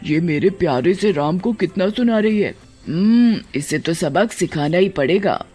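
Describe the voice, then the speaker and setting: A woman's voice: fairly low pitch, animated, a little slow, warm tone, breathy edge. A woman voicing a protective witch in a cartoon story, muttering scornfully to herself. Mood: indignant. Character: protective female witch, scornful of a greedy wife. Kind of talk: Hindi cartoon story voice acting. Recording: background music.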